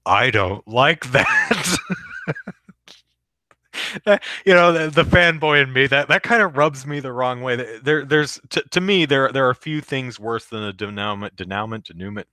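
A man with a deep voice hosting a live podcast, reading the chat aloud and rambling casually with many fillers and restarts. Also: animated, moderate pace, sharp tone, no room sound.

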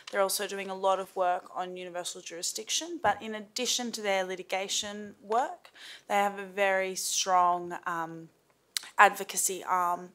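A young woman speaking on a panel at a table mic, steady and organised, her voice tired. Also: on the low side. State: losing her voice. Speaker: panel speaker, former intern. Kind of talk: informal panel talk. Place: seminar room, panel table.

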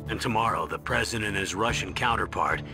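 An actor playing an older military colonel in a video game, grave, formal and measured, guarded in what he says. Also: fairly low pitch, music in the background, close to an everyday voice. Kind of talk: video game radio briefing acting.

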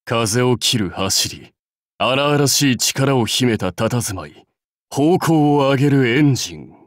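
An actor playing a proud, brusque warlord for a mobile game, curt, commanding and self-assured in manner. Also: male voice, medium pitch, expressive, takes it slowly, no echo, clean studio sound. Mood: confident, dry. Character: proud, brusque warlord rider devoted to his clan. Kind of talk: Japanese mobile game character lines.

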